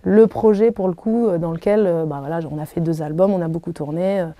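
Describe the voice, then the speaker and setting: A woman in her thirties whose first language is Arabic, answering interview questions thoughtfully, with many pauses and restarts. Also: fairly low pitch, steady tone, dark tone, no room sound. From Algeria. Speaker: singer being interviewed. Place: hotel room.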